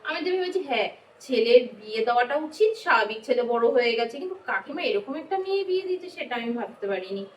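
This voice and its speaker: A woman's voice: a little high, sing-song, racing. A woman playing a scornful, possessive young married woman in a domestic drama, mocking and pushy as she complains to her lover. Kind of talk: amateur domestic drama acting. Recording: echoey room, distant mic, faint noise.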